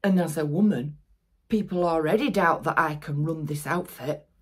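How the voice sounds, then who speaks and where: Older actress doing a low husky lady crime boss, cold n threatening, dramatic monologue. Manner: slow, deliberate, dropping to menacing near-whispers. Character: menacing older female crime boss, coldly controlled and contemptuous. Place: small plain-walled room indoors.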